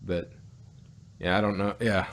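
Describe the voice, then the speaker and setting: Halting man in casual podcast chat. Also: fairly low pitch, little pitch movement. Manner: halting, repeats and rephrases as he thinks. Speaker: audio drama review podcast host.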